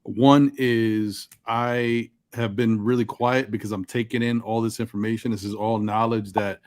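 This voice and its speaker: A man with a deep voice and precise, articulate enunciation, talking reflectively about himself in a group discussion. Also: flat delivery, a little slow, darkish tone, no room sound. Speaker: panel participant.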